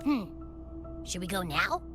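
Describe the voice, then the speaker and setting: A woman's voice: fairly low pitch, sing-song, unhurried, music in the background. A woman voicing a small, childlike companion creature in a video game, eager and anxious. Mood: curious. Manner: exclaims, hesitant and wordy when uneasy. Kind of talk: video game character acting.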